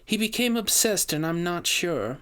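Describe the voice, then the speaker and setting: Man voicing a genteel, troubled society widow, raised pitch. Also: expressive, moderate pace, fairly bright tone, smooth. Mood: fearful, pleading. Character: distressed, flattering high-society widow, grieving and secretive. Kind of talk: audio fiction character dialogue.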